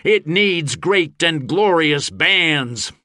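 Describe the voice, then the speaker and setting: A man's voice: fairly high pitch, expressive, takes it slowly, no echo, clean studio sound, pitched above the performer's own voice, heavily acted. A man voicing a rousing fantasy mercenary leader in an audiobook, giving an impassioned, declamatory speech to an army before battle. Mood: roaring, fervent. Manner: impassioned, declamatory, shouting oratory. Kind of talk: audiobook fantasy character acting.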